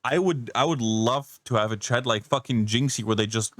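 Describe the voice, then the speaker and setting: A man's voice: mid-range voice, normal speed, a bit bright, no echo, clean studio sound. A man doing casual reaction commentary, incredulous and rambling, swearing often. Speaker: reaction streamer.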